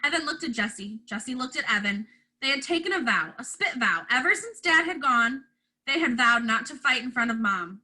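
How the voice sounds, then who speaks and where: A woman reading a children's chapter book aloud as narrator, in an expressive teacher's storytelling style. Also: on the high side, moderate pace, rough recording.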